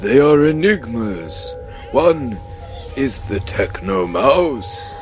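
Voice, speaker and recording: A man plays a solemn wizard leading a comic radio-play quest. His mid-pitched voice swoops dramatically and pauses for grand effect. Music sits behind him. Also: sing-song. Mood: portentous. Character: theatrical wizard guide, solemn, absurdly earnest.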